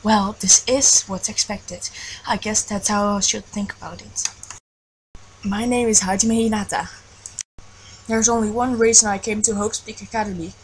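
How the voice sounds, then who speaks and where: A woman's voice: mid-range voice, steady tone, moderate pace, close to an everyday voice, poor audio. Woman as an earnest, self-conscious teenage boy. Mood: earnest, reflective. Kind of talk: scripted game fan dub narration. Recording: faint background noise.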